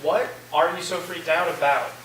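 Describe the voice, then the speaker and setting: A man's voice: on the high side, fairly even intonation, normal speed, fairly bright tone, lightly acted. A man playing an easygoing, curious college student with a casual, slightly hesitant delivery in a staged reading. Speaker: actor in a staged play reading. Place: live staged reading in a reverberant room. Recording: echoey room, distant mic, faint noise.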